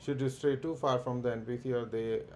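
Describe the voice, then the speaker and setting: A man streaming a game, reading the quest text aloud haltingly and muttering "okay" between lines. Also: mid-range voice, steady tone, darkish tone, slight background noise. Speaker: livestream gamer. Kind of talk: casual let's-play commentary. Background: faint game music.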